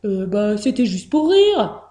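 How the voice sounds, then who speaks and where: A woman's voice: medium pitch, fairly quick, bright, forceful tone, natural voice. A woman voicing a sly male fox, the cowardly villain of a children's story. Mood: cowed. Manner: gloating, then stammering.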